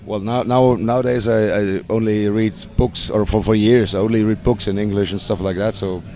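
A man with a German accent, a punk band singer, answering interview questions hesitantly with frequent restarts and soft laughs. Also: lowish voice, steady tone, moderate pace, a little hiss or hum.

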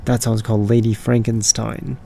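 Raspy hoarse guy doing a punk music show, gravelly, mellow dark tone, kinda rambly. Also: lowish voice, little pitch movement, normal speed, a little hiss or hum. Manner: loose and rambling, corrects himself mid-thought. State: hoarse, voice not fully recovered from screaming. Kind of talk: casual radio-style song introductions.

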